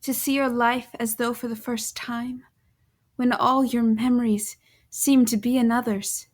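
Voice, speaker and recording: An actress playing an earnest, bewildered woman in her twenties in an audio drama, speaking in a hesitant, deliberate manner. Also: on the high side, fairly even intonation. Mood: haunted, hushed. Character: bewildered, earnest young woman trapped in a stranger's body. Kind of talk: audio drama acting with first-person narration.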